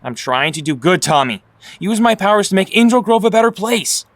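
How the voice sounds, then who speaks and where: A man plays a young male vigilante hero in an audio drama. He is earnest and defiant, self-justifying, in a slightly high voice recorded close and dry. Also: lively intonation, a little fast, a bit bright, no echo. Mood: earnest, defensive.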